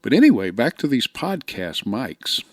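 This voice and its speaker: A middle-aged or older man giving an informal, plainspoken microphone demo in short, deliberate phrases, speaking close to a dynamic microphone. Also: mid-range voice, big pitch swings, no echo. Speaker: amateur radio hobbyist reviewing microphones. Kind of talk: informal explanatory product demo narration. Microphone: close dynamic podcast or studio microphone.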